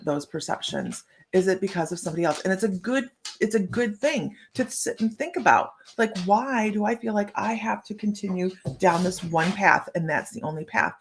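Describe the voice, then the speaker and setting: A woman's voice: medium pitch, a little hiss or hum. A middle-aged woman hosting a live stream as a psychic medium, chatting to viewers and greeting them by name.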